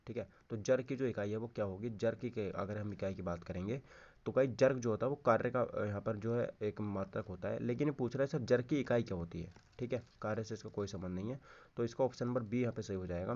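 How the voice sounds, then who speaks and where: A man's voice: on the low side, fast talker, darkish tone, muffled recording. A man teaching an informal exam-prep lesson, repeating key answers, checking in with listeners and mixing Hindi with English terms. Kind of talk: informal lesson, going through quiz questions.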